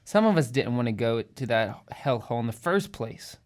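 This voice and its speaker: A woman's voice: a bass voice, animated, normal speed, darkish tone. A woman acting a jittery, complaining middle-school kid in an amateur radio drama. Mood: grudging.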